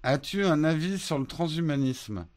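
A man from France hosting a casual morning live stream, answering viewer questions in a relaxed, hesitant way with many fillers. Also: a little high, normal speed, slight background noise. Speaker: host of a morning live show answering chat.

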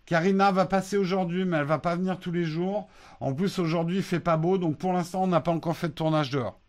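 A man from France hosting a casual morning live stream, answering viewer questions in a relaxed, hesitant way with many fillers. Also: a little high. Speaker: host of a morning live show answering chat.

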